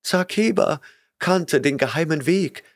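A trembling, terrified young woman pleads and whimpers in a breathless rush, as a male narrator puts her on. Her voice is pitched well above his own, thin with fear. It comes from a storybook reading. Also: measured pace. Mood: fearful.

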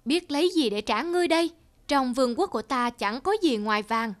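A high-voiced, pompous cartoon king. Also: animated, fairly quick. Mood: grandly generous. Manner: pompous, exclamatory. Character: grand, curious, easily delighted middle-aged male king. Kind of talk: cartoon fairy-tale acting.

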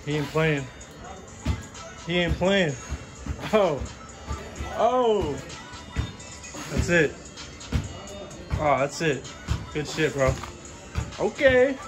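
Slangy guy gym vlogger over loud music. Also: on the high side, animated, very slow, pauses often. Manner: bro slang, swearing, hyping friends up. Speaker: vlogger filming a workout. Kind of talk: casual gym vlog chat. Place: outdoor yard and makeshift backyard gym. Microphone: handheld camera or phone.

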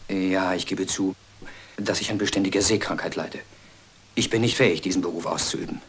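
Timid ship's officer played by a man: lowish voice, hesitant, earnest, downtrodden radio-drama delivery. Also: fairly quick, close to an everyday voice. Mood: anxious, confessing. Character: timid, dejected naval officer, male. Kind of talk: German detective radio play acting.

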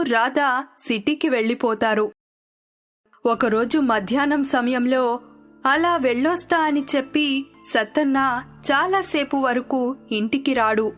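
Woman, high voice, scripted story narration, storyteller, background music. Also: a bit bright. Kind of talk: scripted narration of a moral tale. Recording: music under the voice.

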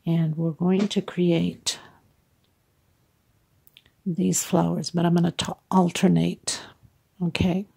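Congested middle-aged woman, gravelly and husky, flat low delivery, chatty craft tutor. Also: takes it slowly, pauses often. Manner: chatty, warm thanks and hugs to viewers. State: congested, stuffed-up from sinus. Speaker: crochet tutorial host. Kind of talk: casual step-by-step craft tutorial. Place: at home, table with yarn.

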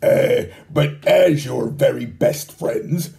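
A man's voice: medium pitch, slow, vocal fry, mellow, pitched below the performer's own voice. A man voicing a grumpy, sarcastic boy who grunts and complains in a children's story.

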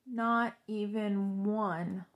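A woman voicing a glum, easily tempted male toad in a storybook, with slow, drawn-out delivery. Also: mid-range voice, fairly even intonation. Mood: sad, resigned.

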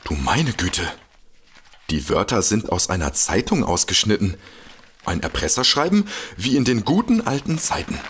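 Excitable, husky, stammering fellow. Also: male voice, lowish voice, moderate pace, exaggerated voice. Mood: nervous, intrigued. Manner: stammering, exclaiming, easily amazed. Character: gullible, excitable man, a food-loving paranormal enthusiast. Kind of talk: comic audio drama acting.

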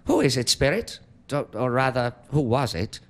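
A man's voice: mid-range voice, sing-song, normal speed. A man playing a frightened old miser, pleading theatrically with a spirit in stammering, exclamatory speech. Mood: anxious, hushed. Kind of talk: dramatic stage-style monologue.

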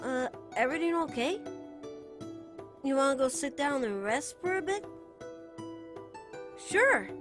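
A woman's voice: high voice, sing-song, over music. A woman voicing a playful, teasing, fondly protective young bard boy in a giggly ASMR character roleplay. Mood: cheerful, covering. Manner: teasing, giggly, drawn-out phrases. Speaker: voice actor.

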